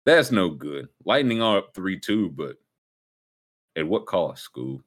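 A man hosting a casual sports podcast, talking in a conversational, halting way. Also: low voice, big pitch swings. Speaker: show host.

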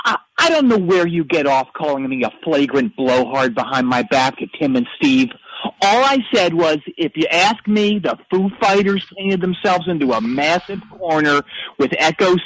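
An actor playing a brash, combative caller who rambles about rock music and picks fights. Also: male voice, a little high, expressive, moderate pace, fairly bright tone, low-quality recording. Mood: belligerent. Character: brash hotheaded man obsessed with rock. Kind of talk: comedic character phone call.

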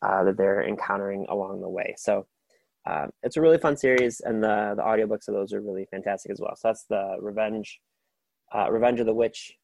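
A man with a low, gravelly voice hosts a book podcast on his own. He rambles warmly through his picks, full of ums and restarts. The sound is close and dry. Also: brisk, no room sound. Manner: rambling, filler-heavy, enthusiastic. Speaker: podcast host. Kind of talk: casual solo book recommendations.

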